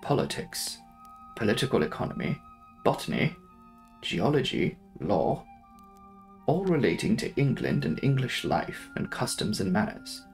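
Creaky young male audiobook narrator. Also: on the low side, very animated, unhurried. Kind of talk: dramatic reading of a classic novel. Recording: faint music behind the voice. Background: soft background music.

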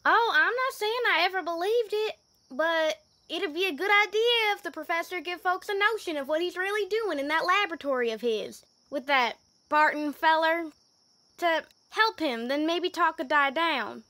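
Rustic female sheriff, played by a woman, cautious and gossipy, very bright high voice, radio play. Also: animated, moderate pace, dry recording, exaggerated voice. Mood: folksy, wary. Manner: folksy, rustic, hesitant. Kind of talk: amateur old-time radio drama acting.

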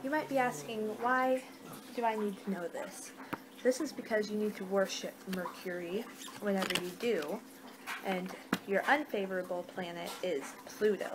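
A young woman doing an improvised ASMR roleplay, muttering in an unhurried, distracted way on a noisy amateur home recording. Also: mid-range voice. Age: young adult. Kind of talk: ASMR roleplay, improvised reading of fortune cards. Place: small home room, indoors. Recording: noisy amateur webcam-style room recording.

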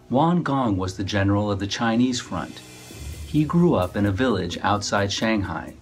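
A man with a fairly low voice tells his story in a measured, scripted narration. Music plays clearly beneath him throughout. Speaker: author narrating his book trailer. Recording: music bed under the voice.

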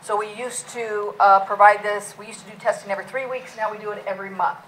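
Middle-aged lady police chief doing a budget talk, loud, lots of uhs, mid pitch, bit of room hum. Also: steady tone, fast, poor audio. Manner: loud, plain-spoken, many fillers. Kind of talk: public budget presentation. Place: council meeting room.

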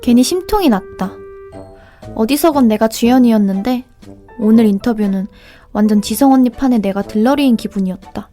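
A woman's voice: on the high side, lively intonation, brisk, faint music behind, close to an everyday voice. A woman as a sulky young idol dancer. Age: young adult. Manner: sulky and sharp. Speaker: idol singer and narrator. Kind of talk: audio drama narration and dialogue.

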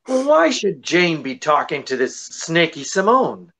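A middle-aged man playing a jaunty, wisecracking gentleman detective husband in breezy 1940s radio comedy style. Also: on the high side, big pitch swings, unhurried, heavily acted. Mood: inquisitive. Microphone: seated before camera on video call.

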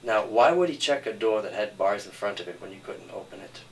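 A man's voice: fairly low pitch, lively intonation, a little fast. A man in an argumentative group discussion as a screenwriter, insistent and repeating himself, recorded from a distance. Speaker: screenwriter defending his script. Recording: distant mic with some noise.

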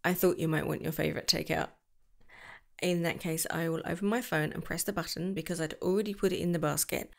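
A young woman doing a girlfriend roleplay to the listener, soft and affectionate, giggling and slipping into whispers. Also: sits low, fairly even intonation, brisk, gravelly. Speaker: ASMR roleplay creator.

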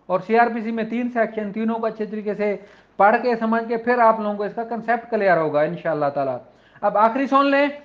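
A man with a Pakistani accent, lecturing informally as a law teacher and switching between explanation and slowly read-out legal English. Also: extremely high pitch. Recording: dull, lo-fi audio.

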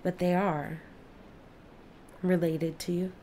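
A woman playing a careful, formal alien scientist in an audio play, speaking precisely with deliberate pauses. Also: on the low side, flat delivery, dark tone, a little hiss or hum. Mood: careful, explaining. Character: alien witness, careful, formal female scientist-observer of planets.

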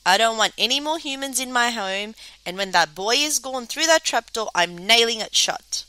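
A woman voicing a brisk, sceptical monster mother in a children's story, firm and scolding. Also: on the high side, expressive, sharp tone, no room sound.

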